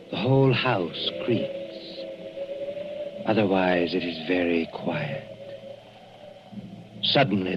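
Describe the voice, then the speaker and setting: A deep, slow, ominous radio narrator. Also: male voice. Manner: hushed, deliberate, dramatic pauses. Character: ominous storyteller of a suspense tale. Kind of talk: 1940s radio suspense narration. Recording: muffled old radio recording. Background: loud dramatic music.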